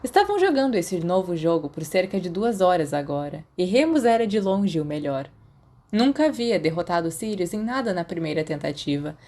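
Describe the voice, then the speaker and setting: A woman's voice: fairly low pitch, very animated, normal speed, darkish tone. A woman with a Brazilian accent reading a scripted story as an audiobook narrator. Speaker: audiobook narrator of fan fiction.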